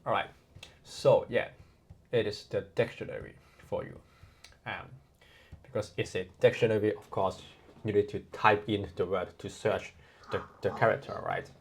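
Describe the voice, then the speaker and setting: A man from Hong Kong with a Hong Kong accent, giving a relaxed, chatty online lesson with many fillers. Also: on the low side, normal speed, long pauses, a little hiss or hum. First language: Cantonese. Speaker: language tutor.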